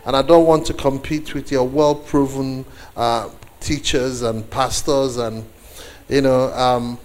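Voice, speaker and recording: Slow-paced Nigerian man preaching. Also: mid-range voice, a little mellow. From eastern Nigeria. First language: Igbo. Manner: deliberate, with pauses and repeated phrases for emphasis. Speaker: guest preacher. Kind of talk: sermon to a congregation.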